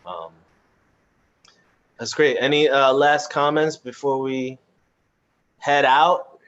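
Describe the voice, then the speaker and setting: A man's voice: medium pitch, steady tone, takes it slowly, fairly bright tone, dull audio. A man hosting a casual online chat, speaking informally in a hesitant, rambling way over a video call. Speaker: host of a theatre chat.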